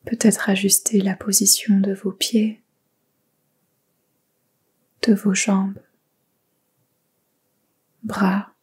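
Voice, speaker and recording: Slow, softly whispering woman. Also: mid-range voice, slightly rough. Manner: soft whispered delivery; slow, calm instructions. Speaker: yoga nidra teacher. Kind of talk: guided meditation.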